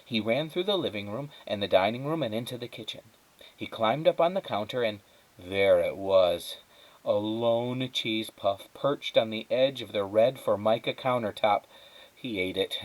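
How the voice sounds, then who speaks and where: A man reading a children's story aloud as a teacher would, with dramatic, measured phrasing and emphatic pauses. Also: on the low side, expressive, rough recording. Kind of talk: expressive children's storybook narration.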